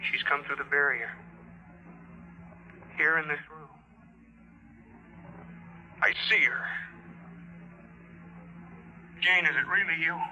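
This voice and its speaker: An actor playing a desperate, lovestruck man with a fervent, halting delivery in a 1950s radio drama. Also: a little high, bright, forceful tone, faint music behind. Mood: awed, urgent. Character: desperate, lovestruck man yearning for lost wife. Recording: dull old 1950s radio recording.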